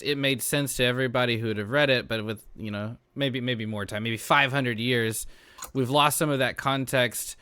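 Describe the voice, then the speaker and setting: Man, mid pitch, dry close sound, enthusiastic podcast co-host, slangy, casual, thinking aloud. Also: a bit bright, smooth, dry recording. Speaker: podcast co-host and tabletop player. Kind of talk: casual roundtable chat.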